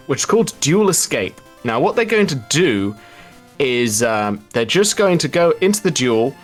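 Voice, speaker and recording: A man giving casual, explanatory live speedrun commentary in a chatty, wry, informal way. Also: mid-range voice.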